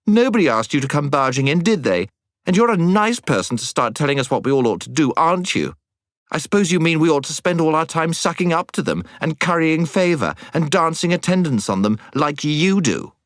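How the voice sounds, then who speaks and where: Male reader as touchy British schoolgirl for a storybook, blunt and outspoken, wide pitch swings, dry recording. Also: big pitch swings, normal speed, dry recording. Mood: angry.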